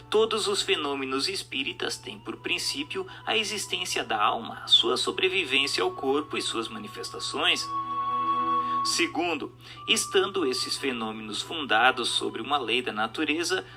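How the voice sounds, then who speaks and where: Bright, forceful Brazilian man narrating over music. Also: mid-range voice. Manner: declamatory, didactic, emphatic. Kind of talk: scripted narration of a spiritist text. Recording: music bed under the voice.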